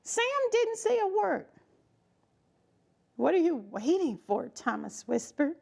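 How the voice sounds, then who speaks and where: A woman reads a children's story aloud expressively, with emphatic delivery, dramatic pauses and chatty asides to her young listeners. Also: sits high, sing-song, creaky, darkish tone.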